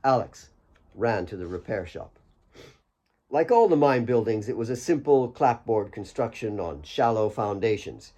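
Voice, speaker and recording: A man's voice: fairly low pitch, lively intonation, a little slow, dark tone. A man reading his own fiction as an audiobook, in scripted storytelling narration.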